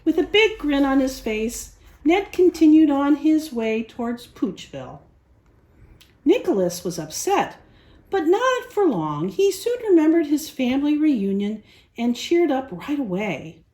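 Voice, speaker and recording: A woman storyteller reading a picture book aloud to young children in an expressive style. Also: high voice, measured pace.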